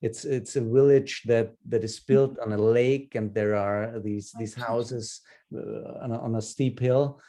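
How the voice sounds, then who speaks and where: A man in his fifties with an Austrian accent, a native German speaker, telling personal stories haltingly in an online group session. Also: mid-range voice, fairly even intonation, mellow. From Upper Austria. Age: 50s. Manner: hesitant, halting delivery with repeated words. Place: video call.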